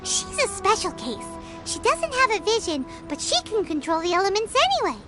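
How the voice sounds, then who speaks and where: Tiny squeaky childlike companion, extremely high. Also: female voice, very animated, brisk. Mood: matter-of-fact, proud. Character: small, childlike, chirpy female companion. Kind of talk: video game character dialogue. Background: game music.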